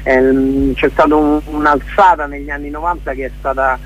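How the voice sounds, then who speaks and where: A man's voice: a little high, level tone, moderate pace, a little hiss or hum. A man being interviewed casually as a theatre guest, searching for his words as he explains things. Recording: dull lo-fi radio sound.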